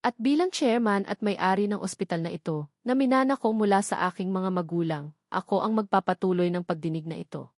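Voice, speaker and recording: A Filipino woman voicing a calm, cold, commanding male hospital owner in a story, firm and measured. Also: mid-range voice, no echo, studio quality, lightly acted. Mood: firm, accusing.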